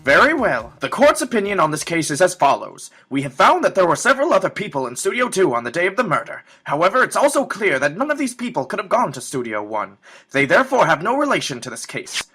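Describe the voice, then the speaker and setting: A man's voice: high voice, wildly swooping pitch, quick pace, bright, forceful tone, slight background noise, heavily acted. A man playing a stern, pompous elderly judge in an amateur courtroom game fan dub, speaking in a formal, declamatory way. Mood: solemn. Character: stern pompous elderly male judge presiding over a trial.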